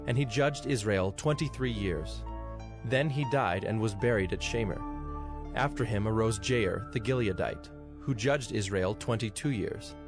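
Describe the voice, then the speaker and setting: A man narrating scripture from a script in a measured, solemn manner. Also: fairly low pitch, music in the background.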